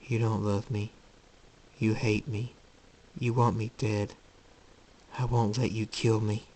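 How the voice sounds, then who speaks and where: A man's voice: on the low side, little pitch movement, takes it slowly, a little mellow, distant mic, a little hiss or hum, poor audio. A man voicing a tormented, defiant male hero who is losing his mind, with strained delivery, in a story reading. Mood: cold, paranoid.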